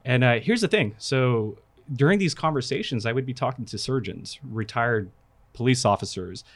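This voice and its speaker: A man born in the Philippines and raised in California, a guest speaking casually and warmly with frequent fillers. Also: medium pitch, expressive, normal speed. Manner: friendly, talkative, frequent uh fillers. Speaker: guest, product manager. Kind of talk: casual podcast interview.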